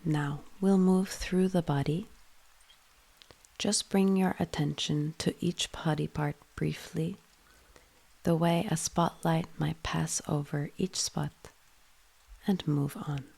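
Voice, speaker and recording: A woman leading a guided yoga nidra meditation in a soothing, hushed manner, with phrases often trailing into breath. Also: low-pitched, fairly even intonation, slow pace, creaky, husky.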